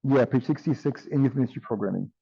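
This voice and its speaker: A man teaching an online class with a tired, strained voice, often checking in with "right?" and filling pauses with um. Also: medium pitch, vocal fry, dark tone, husky, no echo, highs cut off. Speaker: instructor.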